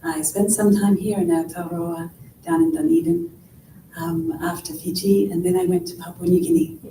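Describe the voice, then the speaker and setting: A woman's voice: animated, moderate pace, warm tone. A woman, speaking articulately and reflectively on a conference panel. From Australia. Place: large conference hall. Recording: echoey hall, distant mic, faint rumble.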